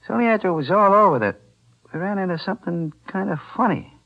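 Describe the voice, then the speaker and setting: An actor playing a small-time gangster, falsely friendly and insinuating, slangy, in 1940s radio style. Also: male voice, high voice, lively intonation, fast, vocal fry, full character voice. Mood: sly. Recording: muffled old 1940s radio recording.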